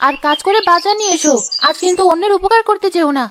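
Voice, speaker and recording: A woman voicing a sharp-tongued, nagging young village wife in a cartoon. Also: very high-pitched, quick pace, background noise. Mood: scolding, annoyed. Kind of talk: cartoon voice acting.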